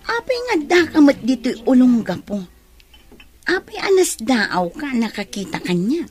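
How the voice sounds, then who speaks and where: A woman's voice: high-pitched, sing-song, unhurried, darkish tone, faint music behind. A woman playing a playful, teasing young nurse in an Ilocano radio drama, exclaiming expressively with an Ilocano accent. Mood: surprised.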